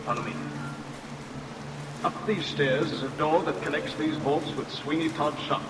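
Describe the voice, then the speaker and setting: A man's voice: a little high, measured pace, heavy background noise, close to an everyday voice. Man playing resolute sailor hero, distant and echoey. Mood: resolute, urgent. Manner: commanding, formal, earnest. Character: earnest, resolute heroic sailor, wronged man. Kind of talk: vintage radio melodrama acting. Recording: distant echoey vintage radio, heavy rain.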